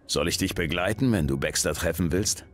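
Low-voiced, steady warrior hero played by a man. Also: a little fast, close to an everyday voice. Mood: calm, steady. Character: steady, loyal male warrior game hero. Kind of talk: video game voice acting. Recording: background music. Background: game music.

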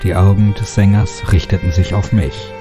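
A man's voice: sits low, fairly even intonation, moderate pace. A man giving a scripted, dramatic first-person narration of a horror story. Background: music.

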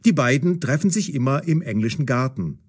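A man's voice: mid-range voice, lively intonation, fairly quick. A man narrating a scripted story for language learners in short, dramatic sentences.

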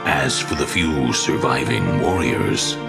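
An actor playing a grave, ominous storyteller, narrating a fantasy war game in a deliberate, scripted epic style. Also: male voice, very deep voice, expressive, creaky, loud music behind the voice, exaggerated voice. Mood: solemn, ominous.

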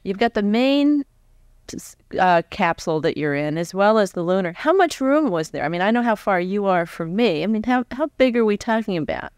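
A woman's voice: medium pitch, animated, normal speed, a little mellow, clean tone, no echo, clean studio sound. An American woman in her forties interviewing a guest in a conversational style. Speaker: professional interviewer. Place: stage before a live audience. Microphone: close microphone on a stand.

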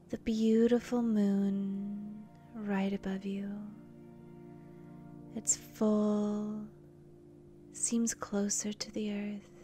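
A woman leading a guided meditation in a soft, breathy, near-whispered voice, gentle and soothing, with long pauses between short phrases. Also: mid-range voice. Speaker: meditation guide, channel host.

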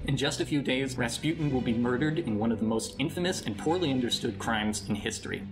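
Male promo narrator, middle-pitched, scripted read with clear music behind. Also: a little fast, poor audio. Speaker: podcast trailer narrator. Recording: music-backed podcast promo.

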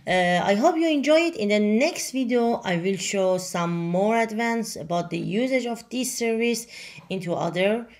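Gravelly woman, native Farsi speaker. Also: mid-range voice, darkish tone. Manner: halting, many fillers and restarts, non-native grammar. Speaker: software tutorial presenter. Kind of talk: screen-recorded software walkthrough.

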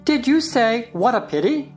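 A woman's voice: fairly high pitch, animated, normal speed, darkish tone, soft background music, lightly acted. A woman playing a nervous, secretive, gossiping attendant in an audiobook play. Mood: anxious, gossiping.

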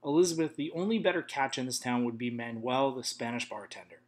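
A man's voice: medium pitch, brisk, natural voice. A man voicing a playful, bossy young princess who teases her friend in a storybook reading. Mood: teasing. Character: chatty teasing young princess woman.

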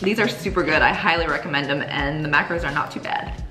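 A woman's voice: low voice, fast talker, poor audio. Upbeat woman vlogging over music. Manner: upbeat, chatty, enthusiastic. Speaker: fitness vlogger. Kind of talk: casual vlog to camera. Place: home kitchen, gym and restaurant. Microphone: handheld camera. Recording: music playing underneath.

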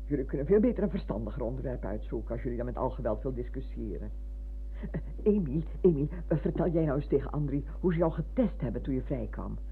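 An actress playing an exasperated, pleading wife who soothes quarrelling men in halting, repetitive phrases, in a Dutch radio drama. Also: female voice, rumbling low, expressive. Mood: placating. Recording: hissy, humming, phone-like radio recording.

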